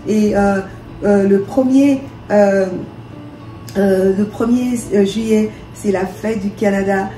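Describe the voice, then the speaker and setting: A woman's voice: medium pitch, fairly even intonation, takes it slowly. A woman from DR Congo, a minister, leading prayer with fervent, repetitive declarations. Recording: echoey room with soft music.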